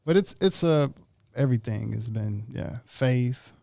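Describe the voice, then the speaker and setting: A man from Mississippi talking in a casual chat, halting and searching for his words. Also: mid-range voice, animated, gravelly, mellow, no echo, studio quality. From Mississippi, USA.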